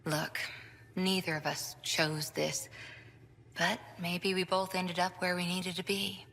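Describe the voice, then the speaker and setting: A woman's voice: on the low side, little pitch movement, takes it slowly, creaky, fairly bright tone, big-room sound, exaggerated voice. An actress playing a principled, self-doubting woman soldier in a video game cutscene. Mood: reflective.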